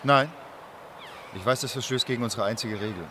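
A man's voice: medium pitch, fairly quick, pushed and bright, a little hiss or hum, natural voice. An actor playing a determined, worried man who leads a group and speaks earnestly in a German radio crime drama. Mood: resolute. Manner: resolute, earnest. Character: determined, worried male commune leader defying the rules.

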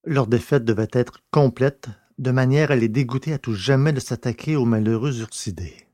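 A man's voice: medium pitch, normal speed, warm tone, no room sound, clean studio sound. A man narrating a scripted science fiction adventure story in a literary, storytelling style.

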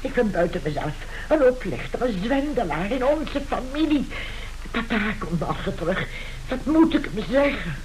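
A woman's voice: fairly high pitch, sing-song, normal speed, darkish tone, low-quality recording. A woman playing an indignant, snobbish middle-aged mother in an old radio play, melodramatic and scandalised. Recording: hissy old radio recording.

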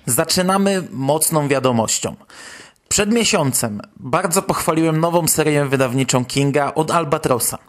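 A man's voice: fairly high pitch, normal speed. A Polish man hosting a podcast, commenting on news in a conversational, wry way. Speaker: podcast host.

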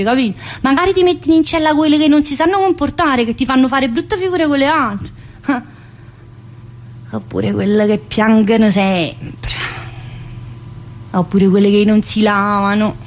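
A woman's voice: high-pitched, moderate pace, lightly acted. An actress playing a talkative, earthy woman inmate in a theatrical monologue, rambling colloquially with a central-southern Italian accent. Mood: grumbling. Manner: colloquial, rambling, full of interjections.

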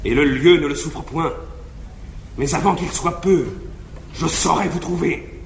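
A man's voice: a little high, unhurried, creaky. An actor playing a stern nobleman bent on honour and revenge, with formal, restrained menace in classical French theatre. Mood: restrained anger. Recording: echoey 1950s live theatre recording, faint noise.

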